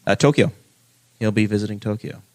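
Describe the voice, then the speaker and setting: A man's voice: fairly low pitch, fairly quick, darkish tone. A man from Indiana who hosts a casual podcast, joking and teasing as he rambles through ad reads and stories. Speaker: podcast host.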